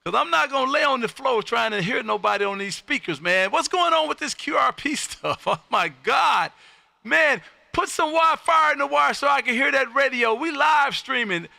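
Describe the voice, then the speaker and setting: A man hosting an amateur radio livestream, chatting casually with a loud, folksy showman's manner. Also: extremely high pitch, animated, moderate pace, vocal fry, no room sound. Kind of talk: casual radio contact chat. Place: home radio room.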